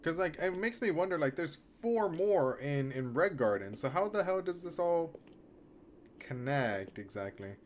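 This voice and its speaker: A man's voice: on the high side, expressive, measured pace. Man live-streaming a game over background noise. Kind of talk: live stream read-aloud and reactions.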